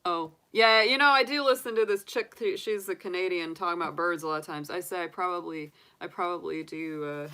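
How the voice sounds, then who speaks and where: Chatty woman, low voice. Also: fairly quick, hardly any pauses, bright, forceful tone, clear, resonant tone. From United States. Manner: chatty, rambling, with many fillers and restarts. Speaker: tarot reader. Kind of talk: casual livestream chat. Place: home room with candles and sage.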